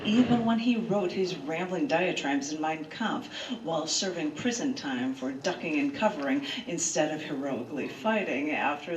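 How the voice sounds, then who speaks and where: A woman poet hosting an open mic, reading aloud live with dramatic, rhetorical delivery and asides to listeners. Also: sits low. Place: large bookstore, indoors, live event. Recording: roomy live room, distant mic, clear noise.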